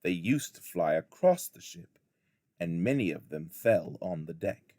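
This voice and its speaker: A man reading a memoir aloud as a teacher would, with deliberate, dramatic phrasing. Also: low-pitched, big pitch swings, darkish tone, no echo. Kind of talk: expressive audiobook narration of a memoir.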